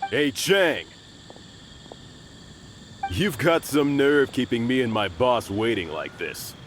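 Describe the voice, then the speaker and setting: A man's voice: medium pitch, animated, slow, a little hiss or hum. A man voicing a pushy, threatening henchman in a video game, with a brash, menacing delivery. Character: threatening, pushy male henchman of a crime boss.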